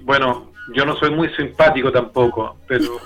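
A man's voice: mid-range voice, fairly even intonation, normal speed, fairly bright tone, faint music behind, poor audio. A Chilean man from Santiago talking casually and candidly as a musician being interviewed, in a reflective, colloquial way.

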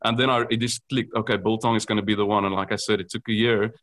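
A man with a South African accent speaks in a lowish voice. He earnestly explains how his company makes its product, pausing on frequent ums as he goes. It sounds like a guest on a business podcast. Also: fairly even intonation, a bit bright. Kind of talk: podcast interview.

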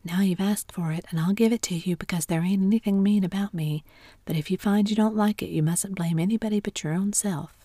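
A woman's voice: medium pitch, fairly quick, warm tone, no echo, studio quality, natural voice. A woman whispering the lines of a mischievous young boy in a storybook reading. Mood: sly.

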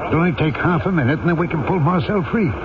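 An actor playing a grave, decisive ex-convict turned mayor in a radio drama, measured and authoritative. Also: male voice, fairly high pitch, animated, lo-fi sound, noisy background, close to an everyday voice. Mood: urgent, commanding. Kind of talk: radio drama acting with first-person narration. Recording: thin old radio recording.

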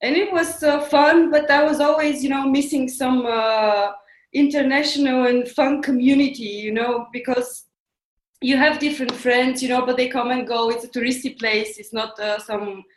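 Female guest, Bulgarian accent and native tongue, relaxed conversational storytelling, slightly high pitch, noticeable room echo. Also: moderate pace. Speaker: interview guest. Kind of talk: casual chat. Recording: roomy, echoey sound.

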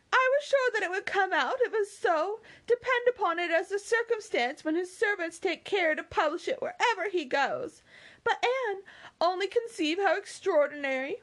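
A woman voicing an excitable, self-important young gentlewoman who gushes in exclamations, in a novel reading. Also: extremely high pitch, voice pushed up. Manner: gushing, exclamatory.

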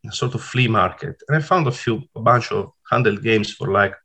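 Italian-accented man, forties, low gravelly voice, hesitant, interview guest. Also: brisk, bright, forceful tone. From Italy. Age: 40s. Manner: hesitant, many fillers and restarts. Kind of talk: casual interview talk.

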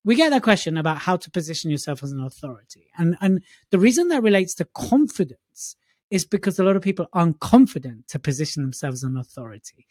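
A man's voice: on the high side, sing-song, fast talker, a little mellow, no room sound, clean studio sound. A man co-hosting a motivational talk show, summarizing tips and steering the conversation in an upbeat, affectionate way. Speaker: podcast co-host.

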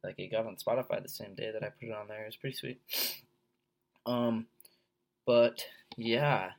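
Young guy, blocked nose from a cold, low gravelly voice, rambling podcast host. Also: fairly even intonation, moderate pace. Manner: rambling, filler-heavy, laid-back. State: stuffed-up head cold, sore throat. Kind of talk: casual solo podcast chat.

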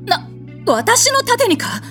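A woman playing a haughty, quick-tempered warrior goddess in an audio drama, with blunt, commanding, masculine phrasing. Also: very high-pitched, big pitch swings, bright, forceful tone, faint music behind, heavily acted. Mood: indignant. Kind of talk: anime-like audio drama acting with narration.

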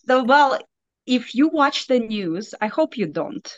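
A woman's voice: on the high side, very animated, a little slow, no room sound. A woman in her forties speaks English with a Belarusian accent, her first language being Russian. She talks earnestly and emphatically as a podcast guest. Her voice comes through a dull, muffled, lo-fi remote line that sounds dry. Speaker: guest nurse coach. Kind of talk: casual interview chat.

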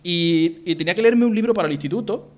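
A man's voice: sits high, fast talker, darkish tone, a little hiss or hum. A man from Spain co-hosting a podcast, reading listener messages aloud and teasing his co-host with jokes and emphatic repetition.

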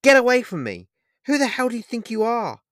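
A man voicing a heartbroken, furious young woman who shouts and nearly screams. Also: medium pitch, sing-song, takes it slowly, no room sound, clean studio sound.

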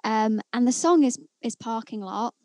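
A young woman with a fairly high voice talks through a song she loves, reading from her notes in a chatty, enthusiastic way. The sound is dry and close, with almost no room on it. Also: normal speed, a little mellow, dry recording. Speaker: podcast co-host reviewing songs. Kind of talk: casual music review chat.

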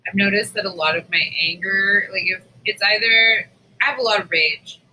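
A woman's voice: mid-range voice, moderate pace, bright, forceful tone, slight background noise, low-quality recording. A woman podcast co-host talking casually and frankly, with informal, sweary phrasing. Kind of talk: casual chat.

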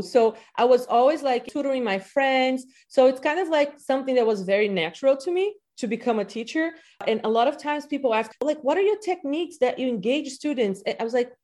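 A woman's voice: fairly high pitch, a little mellow. A woman in her thirties, born in Brazil with Portuguese as her first language, chatting casually as a podcast guest about teaching, with lots of "you know" fillers. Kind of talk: casual interview talk.

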